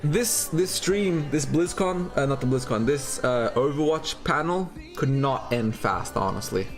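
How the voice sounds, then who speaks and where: A man's voice: mid-range voice, animated, a little slow, faint music behind. A man with an Australian accent chatting informally as a livestream host, with some swearing. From Turkey and Australia. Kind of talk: casual livestream chat.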